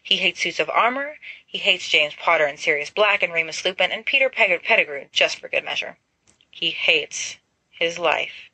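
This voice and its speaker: A woman reading fan fiction aloud as an expressive amateur audiobook narrator. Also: on the low side, moderate pace, breathy edge, no echo, low-quality recording. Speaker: volunteer audiobook reader.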